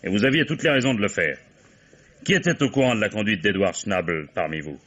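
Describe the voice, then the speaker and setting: An actor playing a shrewd, mock-courteous, methodical German police commissioner interrogating suspects in a vintage French radio mystery. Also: male voice, fairly low pitch, animated, a little fast, fairly bright tone, breathy edge. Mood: probing. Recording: dull old radio-play recording.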